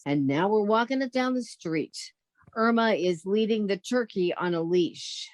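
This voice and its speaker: A woman's voice: medium pitch, expressive, measured pace, close to an everyday voice. A woman playing a sensible, wisecracking, exasperated young roommate in a 1940s-style radio comedy. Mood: narrating.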